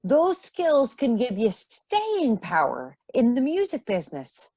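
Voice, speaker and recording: A woman playing a caring, reassuring foster mother in a radio play, with a husky voice. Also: a little high, wildly swooping pitch, takes it slowly, warm tone, dry recording, dull audio, exaggerated voice.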